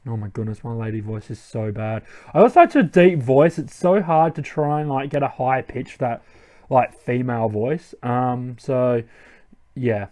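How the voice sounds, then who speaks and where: A man's voice: medium pitch, normal speed, a little mellow. Young man rambling over gameplay. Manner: thinking aloud, rambling with many ums. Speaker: let's play host. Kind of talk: casual gameplay commentary.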